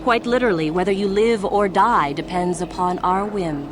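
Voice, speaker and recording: An actress playing a smug, menacing Sith gang leader in a video game, mock-polite, condescending and deliberate. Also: female voice, mid-range voice, close to an everyday voice. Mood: mocking menace.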